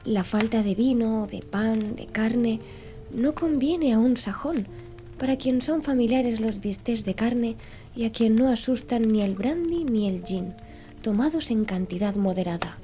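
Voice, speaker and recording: Woman playing young male servant, deferential, formal, courteous, very mellow tone, audiobook character, music behind. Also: a little high, unhurried, natural voice. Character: Conseil, polite deferential young manservant.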